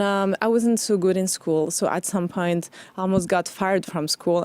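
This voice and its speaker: Woman from Morocco, halting interview guest. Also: fairly low pitch, a little mellow, smooth, dry recording. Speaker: interview guest, foundation founder. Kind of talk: conversational interview.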